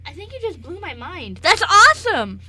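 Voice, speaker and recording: A woman playing an eager, naive young male dog servant in an amateur radio play, with wide-eyed, excitable questions. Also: extremely high pitch, normal speed, no echo, full character voice. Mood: amazed, excited.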